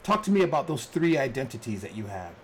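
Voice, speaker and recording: A man from Chicago hosting his first podcast, talking in a relaxed, upbeat way with plenty of fillers. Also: medium pitch, quick pace, a little hiss or hum. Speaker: podcast host. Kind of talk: casual podcast intro and interview.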